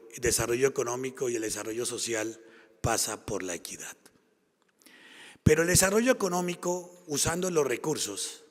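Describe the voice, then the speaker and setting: A man's voice: medium pitch, fairly bright tone. A man from Colombia with a Colombian accent, giving a conference talk and driving his points home with repeated phrases. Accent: Colombian Spanish. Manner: emphatic, repetitive rhetorical build-ups. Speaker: conference speaker. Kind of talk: public lecture. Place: conference hall. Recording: long hall reverb.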